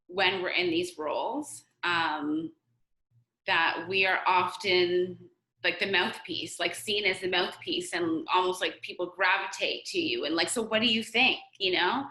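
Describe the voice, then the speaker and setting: A woman's voice: fairly low pitch, bright, forceful tone, echoey room, poor audio. A woman on a discussion panel, speaking thoughtfully as she builds on the points others have made.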